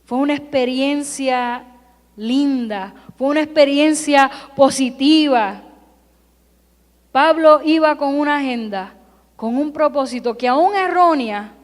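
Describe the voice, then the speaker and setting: High-voiced woman preaching slowly in a hall. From California, United States. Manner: emphatic preaching with repeated parallel phrases. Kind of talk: Sunday sermon. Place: church sanctuary. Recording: long hall reverb.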